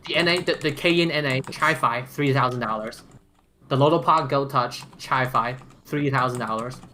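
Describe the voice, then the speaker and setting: Male podcast co-host, bright and punchy, emphatic casual roundtable talker, some background noise and typing. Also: medium pitch, moderate pace. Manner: emphatic, repetitive, swears casually. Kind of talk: animated casual roundtable chat about audio gear.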